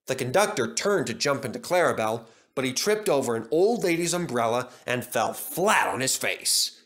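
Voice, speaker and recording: A male storyteller reads a scripted children's tale. His pitch sits in the middle and moves very expressively through each sentence. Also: big pitch swings, normal speed, a bit bright.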